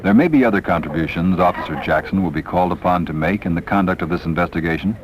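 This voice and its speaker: A deep-voiced man narrates a 1970s training film. His delivery is formal and measured, with deliberate emphasis on each phrase of the script. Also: noisy background.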